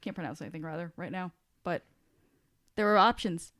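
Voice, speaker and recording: A woman's voice: on the low side, a little fast, a bit bright. A woman co-hosting a podcast, chatting in a loose, informal, conversational manner. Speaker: podcast co-host. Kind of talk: casual chatty discussion.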